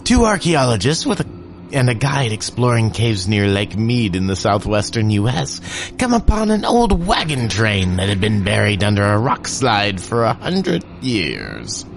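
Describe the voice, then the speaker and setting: A man's voice: lowish voice, sing-song, measured pace, vocal fry, a little hiss or hum. A man hosting a podcast, introducing an old radio episode in an upbeat, explanatory way. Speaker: podcast host.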